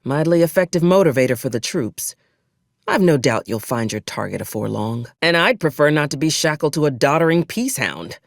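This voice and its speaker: A woman's voice: deep voice, animated, brisk, dry recording, clean studio sound. A woman playing a ruthless, wry military commander in a video game, speaking in a clipped, folksy and old-fashioned soldierly manner with sardonic menace. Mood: cold, pragmatic. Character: ruthless, wry female military officer, cold pragmatic commander. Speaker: acquisitions officer character in a video game. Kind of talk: scripted video game character dialogue.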